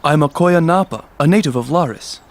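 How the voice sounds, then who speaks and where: A man playing a gentle, humble young shepherd in an audio storybook drama, speaking politely and earnestly. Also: fairly high pitch, a little fast, close to an everyday voice. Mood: courteous, smitten.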